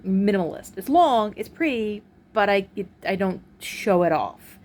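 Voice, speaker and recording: A woman with a deep voice talking candidly in a personal vlog, frank and halting, with pauses and restarts, recorded at home on a phone camera. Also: expressive, darkish tone. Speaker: vlogger. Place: at home, indoors. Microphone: phone camera, recorded in portrait mode.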